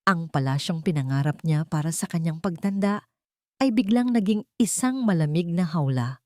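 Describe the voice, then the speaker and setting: Low, mellow woman storyteller. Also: animated, moderate pace, no room sound, studio quality. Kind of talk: dramatic scripted story narration.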